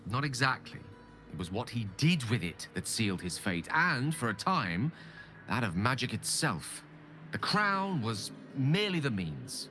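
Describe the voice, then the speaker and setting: A man acting as an eloquent, ambitious wizard in a video game, speaking in theatrical, erudite phrases with dramatic pauses. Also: medium pitch, very animated, bright, forceful tone, soft background music. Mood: eager, explanatory. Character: ambitious, eloquent male wizard hungry for godlike power. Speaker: game character, wizard companion.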